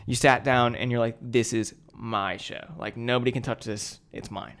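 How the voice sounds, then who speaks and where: A man's voice: mid-range voice, fairly even intonation, moderate pace, a bit bright. A young American man co-hosting a podcast, reminiscing informally and speaking with emphasis. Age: young adult. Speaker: podcast co-host. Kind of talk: casual chat, reminiscing.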